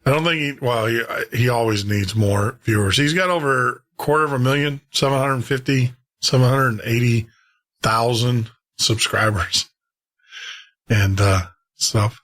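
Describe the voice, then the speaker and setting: A man's voice: medium pitch, measured pace, a bit bright. A man hosting a radio show, talking casually and conversationally to his listeners in a rambling way, repeating himself for emphasis. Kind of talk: casual sign-off talk to listeners.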